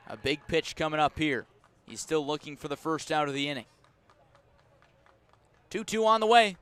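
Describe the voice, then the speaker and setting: An American man doing live baseball play-by-play, measured and descriptive between pitches and emphatic on the action, speaking from a ballpark press box. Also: a bit bright, smooth. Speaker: baseball play-by-play radio announcer. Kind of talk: live sports commentary.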